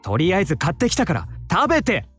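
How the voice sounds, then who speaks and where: A man's voice: high voice, wildly swooping pitch, racing, fairly bright tone, exaggerated voice. An actor playing a fussy, motherly, effeminate male friend in a Japanese visual novel. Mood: fussing. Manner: effeminate, scolding. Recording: game audio with faint music.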